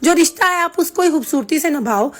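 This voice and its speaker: A hoarse woman with a sore throat, vlogging casually and earnestly giving her opinion straight to her viewers. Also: sits high, animated, brisk. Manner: chatty and earnest, addressing viewers directly.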